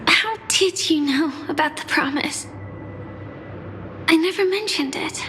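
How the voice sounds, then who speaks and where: A gentle, shy young woman. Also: extremely high pitch, a little slow, background noise. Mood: tender. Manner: soft-spoken, apologetic, at times whispery. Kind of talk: game cutscene voice acting. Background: game soundtrack music.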